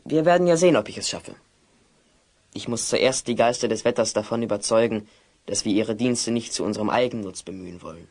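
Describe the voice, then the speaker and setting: A man's voice: lowish voice, fairly quick, darkish tone, lightly acted. A man playing an earnest, long-winded apprentice wizard who speaks precisely in a German children's audio play. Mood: hesitant, hopeful. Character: earnest, bookish male apprentice wizard, slightly unsure.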